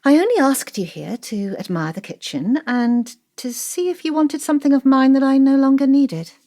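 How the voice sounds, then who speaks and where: Audio drama role: wealthy wife, forties, cool and withholding, dryly cutting, naturalistic delivery. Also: female voice, sits high, moderate pace, mellow. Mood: controlled. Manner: dry, clipped, withholding.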